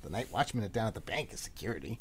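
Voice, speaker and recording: Shady little brother played by a woman: very low husky voice, lively swings, cocky and folksy radio drama delivery. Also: fast, slight background noise. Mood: prodding. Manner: glib, folksy, defensive. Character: cocky, defensive kid brother, shady antiques dealer. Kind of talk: live radio play acting.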